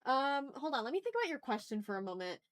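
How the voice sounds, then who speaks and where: A woman's voice: high-pitched, very animated, fast talker. A woman chatting casually as an art livestream host, informal and thinking aloud as she draws. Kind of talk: casual livestream chat while drawing.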